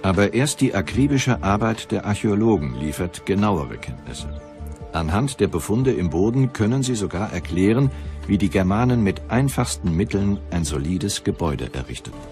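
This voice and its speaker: A man with a very deep voice narrates a history documentary. He is serious and measured, telling events dramatically over a clear music bed. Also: big pitch swings. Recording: music behind the voice.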